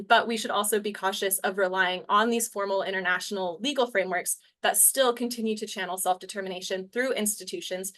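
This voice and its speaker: A woman moderating a webinar, speaking in a measured, academic way with hesitant fillers. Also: mid-range voice, a bit bright. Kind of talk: introductory remarks.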